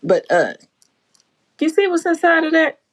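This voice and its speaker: A woman's voice: extremely high pitch, very animated, moderate pace. A brash, playful woman from the Bahamas chatting casually and swearing freely on a livestream. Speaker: livestream host.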